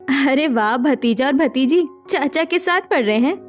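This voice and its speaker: A woman's voice: high-pitched, expressive, a little slow, faint music behind. A woman voicing a caring, hardworking young daughter-in-law in a village story, earnest and reassuring. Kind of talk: scripted story dialogue.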